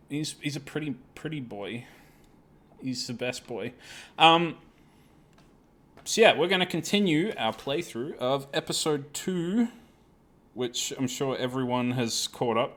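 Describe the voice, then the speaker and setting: A man's voice: mid-range voice, a little slow. A man hosting a live gaming stream, chatting casually in a self-deprecating, apologetic way with plenty of swearing.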